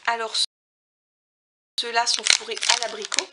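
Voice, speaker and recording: Chatty French vlogger woman, fairly high voice. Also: flat delivery, a little slow, heavy background noise. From Alsace, France. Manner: chatty, hesitant, many fillers and self-corrections; reads out ingredient lists. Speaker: vlogger reviewing finished products. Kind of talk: casual chat to camera.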